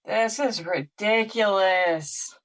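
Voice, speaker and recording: A woman's voice: medium pitch, slow, natural voice. A woman playing a bitter, sarcastic young woman in a naturalistic audio drama, exasperated in manner. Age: young adult.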